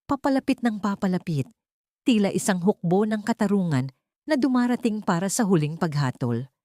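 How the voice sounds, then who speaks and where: A woman's voice: mid-range voice, lively intonation, normal speed, mellow, no room sound, studio quality. A woman narrating a scripted dramatic audiobook story.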